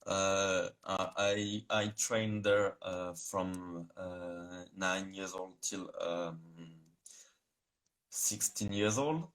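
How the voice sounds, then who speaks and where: A young man from France with a French accent, answering interview questions in English over a video call, halting and searching for words. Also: deep voice, fairly even intonation, fairly bright tone. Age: young adult. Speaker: guest.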